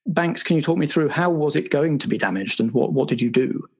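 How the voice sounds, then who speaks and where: Man acting as bureaucratic spy chief, slightly husky, formal, hesitant, politely pedantic, improvised audio roleplay. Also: on the high side, brisk, a little mellow. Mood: officious, measured. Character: officious, bureaucratic male spy agency superior running a debriefing.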